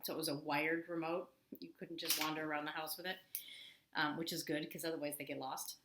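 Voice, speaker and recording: A woman's voice: sits low, level tone, brisk. A woman in her forties, an elementary teacher giving an informal lesson and read-aloud for children, explaining simply and expressively. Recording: roomy echo, faint background noise.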